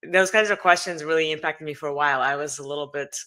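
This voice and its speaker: A middle-aged woman from Russia with a Russian accent, talking as a guest in a casual interview, pausing often with fillers. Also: low-pitched, bright, forceful tone. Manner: hesitant, many fillers.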